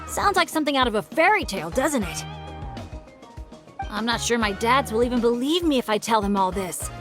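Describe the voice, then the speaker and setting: A woman voicing an earnest, friendly young adventurer in an animated video game. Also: fairly high pitch, brisk, over music. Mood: friendly, eager.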